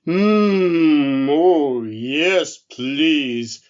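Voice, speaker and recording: A man voicing a huge, sleepy, friendly brown bear in a children's storybook, speaking with slow, drawling politeness. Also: on the high side, highs cut off. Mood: pleased, polite.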